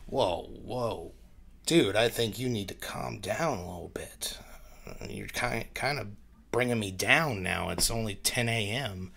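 A man's voice: on the low side, unhurried, vocal fry, fairly bright tone, full character voice. A man playing a meek, wounded person arguing with his own reflection, hesitant, stammering and defensive.

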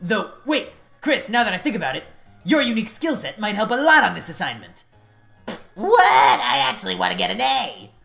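A woman's voice: medium pitch, very animated, brisk, bright, forceful tone, faint music behind. A woman voicing a conceited male bird student in a video game, with a pompous, condescending know-it-all manner.

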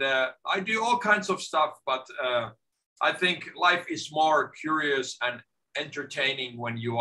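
A middle-aged man from Finland, a guest on a video call from his home office, talking in a lively way and often repeating words as he starts a sentence. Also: medium pitch, rough recording. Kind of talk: casual video-call interview.

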